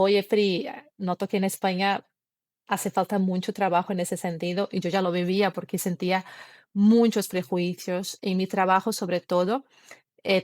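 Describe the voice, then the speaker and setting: A woman in her thirties talks through her story in an interview, earnest and a little halting as she restarts phrases in a language that isn't her first. Her pitch sits in the middle range, and the recording is dry, with no room echo. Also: darkish tone. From Brazil. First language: Portuguese. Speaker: guest. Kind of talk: conversational interview, personal story.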